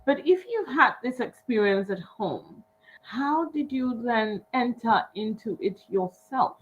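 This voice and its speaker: A woman hosting an interview, putting a thoughtful question to her guest in a measured way over a remote call. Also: fairly high pitch, animated. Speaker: host. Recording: tinny phone-like line.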